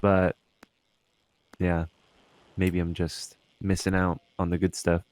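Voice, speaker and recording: A man's voice: low voice, little pitch movement, slow, darkish tone, no room sound. A man co-hosting a podcast, talking in a casual, conversational way. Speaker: podcast co-host. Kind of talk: casual chat.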